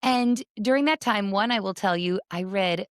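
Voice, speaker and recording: A woman's voice: medium pitch, normal speed, smooth, dry recording, clean studio sound. A woman in her thirties or forties with an American accent, hosting a conversational podcast. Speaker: podcast host.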